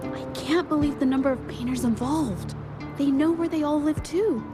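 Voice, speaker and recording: A high, youthful voice for a determined female investigator in a video game, played by an actress. She sounds sharp and focused, with music playing underneath. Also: moderate pace, lightly acted. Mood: concerned.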